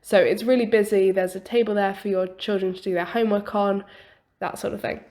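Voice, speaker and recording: A young woman talks through her build in a relaxed, chatty way. Her voice is still slightly croaky from an illness. She pauses with small fillers and leans on the word "quite" a lot, the way a home-recorded voice-over does. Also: medium pitch. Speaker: game building youtuber. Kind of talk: voice-over commentary on a building video.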